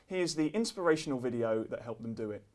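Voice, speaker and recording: A man's voice: medium pitch, animated, fast. A man presenting a news review in scripted narration.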